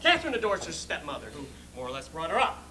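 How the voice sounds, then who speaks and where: Frantic, put-upon groom male actor for a stage comedy, bright forceful voice, very animated, emphatic. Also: on the high side, fast talker, a little hiss or hum, exaggerated voice. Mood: exasperated. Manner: agitated, emphatic, swooping delivery. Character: frantic, put-upon bridegroom, exasperated and neurotic. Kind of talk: theatrical stage monologue.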